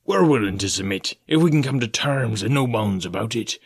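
A man's voice: fairly low pitch, animated, a little fast, creaky, husky, exaggerated voice. A man voicing a wily, jovial one-legged old male pirate, talking in a wheedling, mock-polite way.

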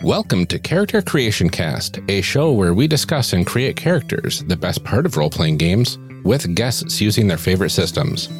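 A man's voice: lowish voice, very animated, normal speed, few pauses, soft background music. A man hosting a role-playing game podcast, reading the scripted show opening with enthusiasm. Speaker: podcast host.

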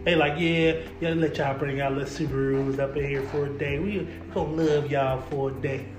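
A man's voice: medium pitch, fairly even intonation, fairly quick, reverberant, over music. A young man hosting a casual car podcast, talking in easy slang, repeating words and laughing often. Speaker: podcast host, local car photographer. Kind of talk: casual chat.

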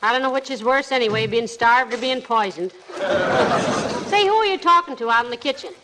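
An actress playing a long-suffering middle-aged wife with a dry, gently sarcastic manner in an old radio comedy. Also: female voice, on the high side, expressive, moderate pace, lightly acted. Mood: wry. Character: wry, long-suffering wife, lazily tolerant. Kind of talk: 1940s radio comedy acting. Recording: very noisy, clicky vintage radio recording.

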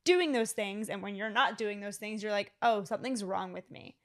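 A woman in her late twenties co-hosting a podcast, talking candidly and earnestly in a casual chat, with frequent "like" fillers. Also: mid-range voice. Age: 20s. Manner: candid, earnest, speaks in quick runs with "like" fillers. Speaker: podcast co-host.

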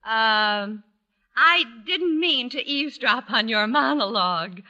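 A woman's voice: on the high side, lively intonation, takes it slowly, pushed and bright, muffled recording, exaggerated voice. A woman playing a snippy, flustered department store saleswoman in a classic radio sitcom, arch and hesitant. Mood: flustered, evasive.

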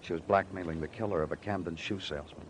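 Low-voiced hard-boiled detective played by a man. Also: steady tone, fast, noisy background, natural voice. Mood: accusing. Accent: American. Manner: clipped hard-boiled delivery, brooding narration. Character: tough, world-weary male New York police detective. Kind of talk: 1940s-50s radio crime drama acting. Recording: vintage radio drama with loud music.

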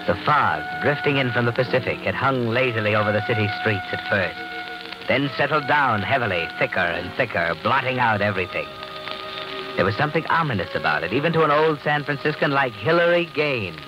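An actor playing an ominous, all-knowing male narrator in an old-time radio crime drama, dramatic and measured. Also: medium pitch, lively intonation, natural voice, poor audio. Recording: vintage radio recording with music behind.

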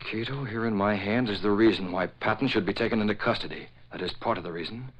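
An actor playing a resolute, shrewd gentleman vigilante in a 1940s radio drama, with an assured, confiding manner. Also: on the low side, quick pace, natural voice. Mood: determined. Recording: faintly noisy old radio recording.

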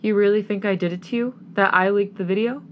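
A woman's voice: mid-range voice, fairly even intonation, fast talker, highs cut off, natural voice. Woman doing exasperated young rich boyfriend in an audio story, hurt and pleading, noisy background. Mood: wounded. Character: young man, wounded, indignant accused billionaire playboy boyfriend.

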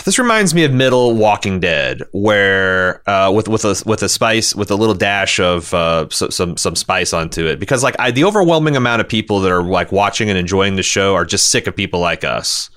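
A middle-aged American man with a fairly low voice co-hosts a podcast. He comments casually and candidly, circling his thoughts in halting, rambling phrases. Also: expressive, a bit bright. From Indiana, United States. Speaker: podcast co-host.

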